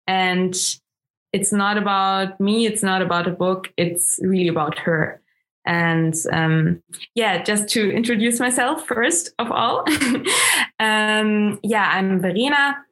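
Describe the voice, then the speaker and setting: Woman, German accent, German native speaker, slow, hesitant, many ums. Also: mid-range voice, fairly bright tone. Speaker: guest, astrologer. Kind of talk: interview conversation.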